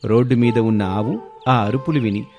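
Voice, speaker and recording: Male narrator, scripted moral tale for children, medium pitch, background music. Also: moderate pace.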